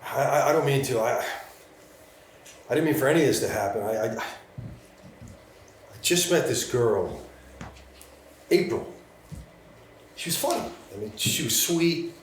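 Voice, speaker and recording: A man playing a distraught young musician in a live table read, stammering and halting with guilt. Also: medium pitch, animated, natural voice. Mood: distraught, guilty. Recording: very echoey room with background noise.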